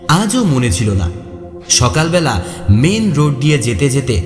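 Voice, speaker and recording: Animated male storyteller in long reverb. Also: mid-range voice. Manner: expressive, suspenseful. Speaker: narrator. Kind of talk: dramatic first-person horror story narration. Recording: echoey long reverb, faint music behind. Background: faint music.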